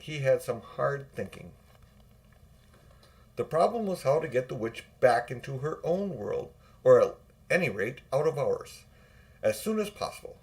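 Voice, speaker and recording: Older male audiobook narrator, mid-range pitch, reading children's fiction.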